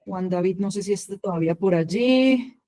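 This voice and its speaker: A woman's voice: mid-range voice, normal speed, mellow. A woman moderating an online panel over a video call, speaking in a friendly, affectionate way with diminutives for colleagues.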